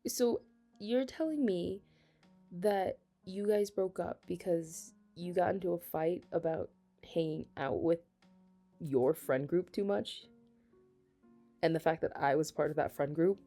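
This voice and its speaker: A young woman playing a flustered, affectionate best friend with a secret crush in an intimate ASMR roleplay, speaking hesitantly and haltingly. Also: on the low side, warm tone, soft background music. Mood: defensive, incredulous. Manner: hesitant, halting, breaking into nervous laughs. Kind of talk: ASMR romantic roleplay, intimate conversational acting.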